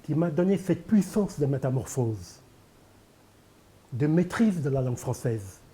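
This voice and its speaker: A man's voice: medium pitch, animated, measured pace, mellow. An older Senegalese man, a poet speaking French as a second language to Pulaar, answering in an interview with emphatic, repeated phrases. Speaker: poet and candidate guest. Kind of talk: televised interview.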